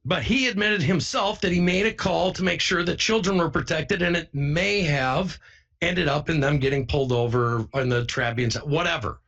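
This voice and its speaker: A man with a somewhat high, slightly husky voice speaks informally to his viewers. He is emphatic and insistent, circling back to repeat phrases. The audio is a little dull and lo-fi. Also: normal speed, a bit bright. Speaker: online broadcaster. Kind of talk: informal first-person account to viewers.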